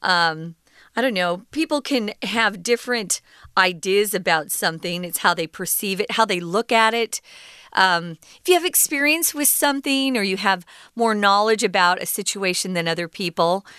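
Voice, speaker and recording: A woman co-hosting an English lesson podcast, explaining words patiently in a relaxed conversational way with fillers. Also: medium pitch, moderate pace, clear, resonant tone, no room sound, clean studio sound. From United States. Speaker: co-host teaching English.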